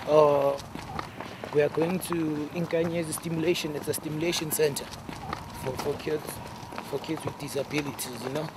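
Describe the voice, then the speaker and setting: A man's voice: on the high side, fairly even intonation, normal speed. A man, casually explaining things as a local guide, hesitant and repeating himself. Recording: noisy outdoor street ambience.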